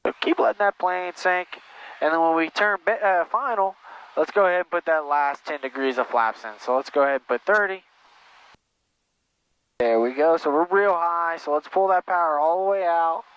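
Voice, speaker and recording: A man's voice: on the high side, moderate pace, smooth. A man working as a flight instructor, giving patient, encouraging step-by-step coaching and repeating his instructions over a cockpit headset. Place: small airplane cockpit in flight. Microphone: aviation headset. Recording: muffled intercom audio, steady engine noise.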